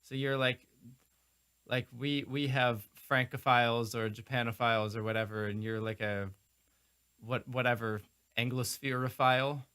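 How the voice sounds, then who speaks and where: A man's voice: medium pitch, fairly even intonation, moderate pace, sharp tone, clean tone. A man hosting a live stream, asking long rambling questions with many restarts. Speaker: host. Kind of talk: casual interview on a live stream.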